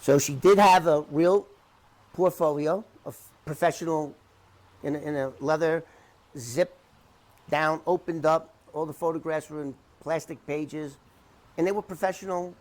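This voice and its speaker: A middle-aged man talking unscripted to the camera in an emphatic, repetitive, halting way as he rebuts claims. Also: a little high, rough recording. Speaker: interview commentator debunking someone's claims.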